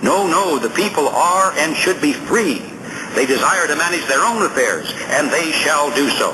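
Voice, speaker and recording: A man's voice: on the high side, animated, moderate pace, noisy background. A man reading scripted nonfiction as an audiobook narrator, in a formal, emphatic, oratorical manner.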